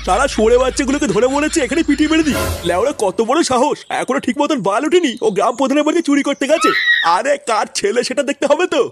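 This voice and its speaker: A man's voice: very high-pitched, expressive, fast talker, soft background music. A man playing an indignant, self-righteous, foul-mouthed village man in a crude comic cartoon. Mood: indignant, accusing. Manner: scolding, swearing.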